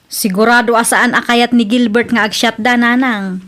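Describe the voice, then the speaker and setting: A woman playing a high-pitched, exasperated wife. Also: steady tone, moderate pace, fairly bright tone, natural voice. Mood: matter-of-fact. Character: exasperated, plain-spoken wife of a drinking man. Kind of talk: Ilocano radio drama acting.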